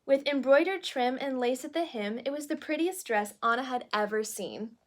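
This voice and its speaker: High-voiced woman reading a children's storybook. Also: normal speed. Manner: expressive, with asides to young listeners. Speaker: storyteller reading a children's book aloud in character as a princess.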